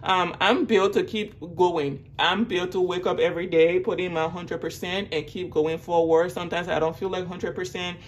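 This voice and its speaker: A woman's voice: steady tone, normal speed, hardly any pauses. A woman giving a casual, earnest tarot reading as a monologue, cautioning the listener as she goes. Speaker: tarot reader. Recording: noticeable background noise with low rumble.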